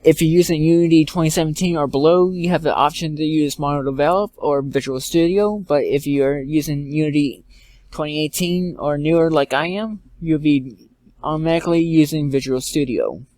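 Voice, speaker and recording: Guy doing a screen tutorial, kinda high voice, halting and informal, pretty dry sound. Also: darkish tone, dry recording. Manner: informal, halting, repeats and corrects himself. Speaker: tutorial presenter. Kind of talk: software tutorial, step-by-step narration. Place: indoors, screen-recording voice-over.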